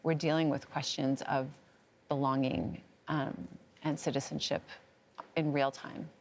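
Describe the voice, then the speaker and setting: A woman's voice: low-pitched, level tone, unhurried, a little hiss or hum. A woman on a debate panel, speaking earnestly and searching for words as she argues. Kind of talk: debate argument.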